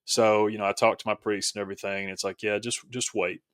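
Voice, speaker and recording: A male podcast guest with a fairly low voice shares a personal story in a casual register. The sound is close and dry, and his delivery is reflective, with some hesitation as he finds his words. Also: little pitch movement, quick pace, fairly bright tone, no echo.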